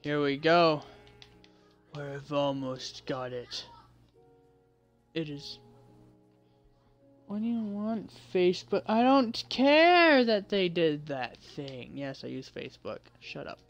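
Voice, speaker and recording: A man with a fairly high-pitched voice giving casual commentary while playing a video game, muttering to himself and second-guessing as he goes. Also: animated, takes it slowly, pauses often, muffled recording, soft background music. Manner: mutters to himself, self-correcting and unsure. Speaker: gameplay video creator.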